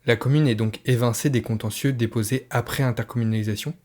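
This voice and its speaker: A man's voice: mid-range voice, steady tone, fast, a little mellow. A man with a mid-range voice takes the interviewer's part. His questions are formally phrased and sound prepared in advance, read as scripted prompts.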